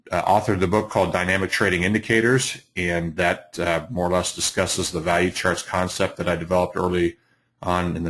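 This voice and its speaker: A middle-aged man, slightly hoarse, hosting a live trading webinar with many fillers and restarts. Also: low voice, fairly bright tone. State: hoarse from allergies. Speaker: trading educator, webinar host.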